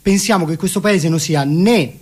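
Guy introducing a radio play, fairly high voice, declamatory and deliberate. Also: a little mellow, slightly rough. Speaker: stage performer and presenter. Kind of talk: theatrical presentation and narration for radio.

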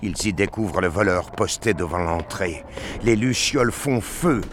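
A man's voice: sits low, expressive, normal speed, noisy background. A man giving a dramatic scripted narration of a science fiction action story.

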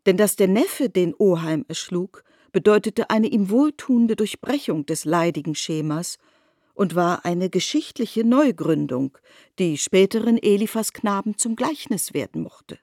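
A woman narrating a literary radio drama in deliberate, elevated phrasing. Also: medium pitch, lively intonation, mellow, no echo, clean studio sound.

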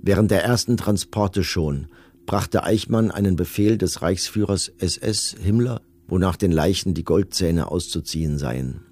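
Cold camp commandant, very deep voice, rough and husky, formal, detached, documentary radio drama. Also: male voice, unhurried, darkish tone. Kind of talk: documentary radio drama, reading orders and records.

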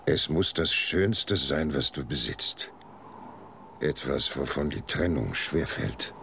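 Old superstitious villager, gravelly, very low, slow, ominous, radio play. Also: male voice, animated, background noise, full character voice. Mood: grave advising. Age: older. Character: old village man, secretive, superstitious, wary advisor. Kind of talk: German radio play acting.

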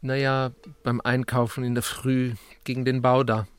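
A man playing an uneasy, suspicious town writer in a radio drama, speaking in a halting, questioning way. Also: mid-range voice, flat delivery, smooth, no echo, close to an everyday voice. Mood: probing, dry. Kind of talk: naturalistic German radio drama acting.

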